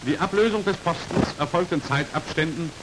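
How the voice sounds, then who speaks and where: Hissy 1940s film narrator, higher-voiced man. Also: a little fast. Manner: formal, precise, matter-of-fact. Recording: old 1940s film soundtrack, hissy, crackly noise. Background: steady hiss.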